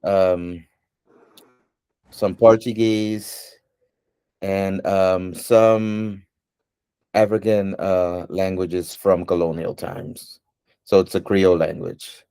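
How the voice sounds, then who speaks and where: A man from Suriname whose first language is Dutch, answering interview questions in an unhurried way, with many ums and pauses. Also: sits low, level tone, creaky, warm tone, smooth, dry recording.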